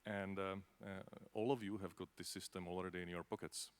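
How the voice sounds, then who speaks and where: A man's voice: low-pitched, flat delivery, fairly quick, smooth. A man from the Czech Republic with a Czech accent, answering on a conference panel in a conversational way, with many fillers and tag questions. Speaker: panelist from a space agency.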